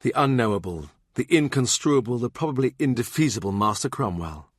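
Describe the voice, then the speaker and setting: A man's voice: mid-range voice, expressive, brisk, slightly rough, no room sound, clean studio sound. A man narrating a historical novel as a scripted literary audiobook.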